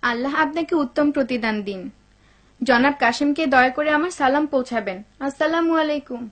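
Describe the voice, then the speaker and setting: A woman playing a gentle, pious, grateful wife in a dubbed cartoon, speaking politely and devoutly. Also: high-pitched, brisk.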